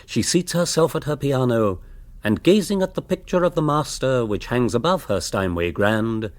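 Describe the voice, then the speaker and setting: A man's voice: mid-range voice, expressive, moderate pace, no room sound. A man with an English Received Pronunciation accent giving wry, stately scripted narration for a radio comedy. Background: piano music.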